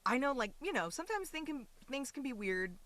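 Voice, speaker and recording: A woman's voice: high voice, very animated, brisk, fairly bright tone, dry recording. A woman talking casually and animatedly as an interview guest on a podcast, telling her own stories.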